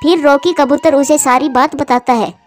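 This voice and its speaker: A female storyteller with a high voice narrates a scripted children's cartoon tale. Soft music runs underneath her reading. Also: fairly even intonation, moderate pace.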